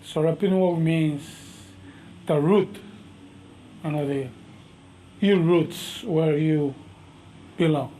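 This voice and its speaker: A middle-aged Micronesian man with a mellow, warm tone and a slightly higher pitch than most men. He answers questions slowly and thoughtfully, with frequent pauses and fillers. A faint hum sits behind his voice. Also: rough recording. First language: a Micronesian language. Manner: slow, thoughtful, halting speech with many fillers. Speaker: master navigator and canoe captain. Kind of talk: interview answers.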